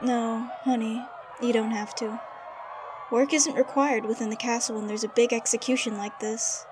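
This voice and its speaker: Lady playing kind castle head maid, audio drama, highish gentle voice, faint noise behind. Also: steady tone, normal speed, natural voice. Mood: gentle regret.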